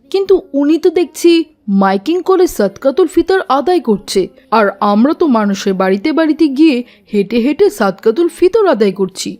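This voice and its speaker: Woman playing a young cartoon boy, high voice, animated intonation, polite, inquisitive. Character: polite, curious young son. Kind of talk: cartoon dialogue acting.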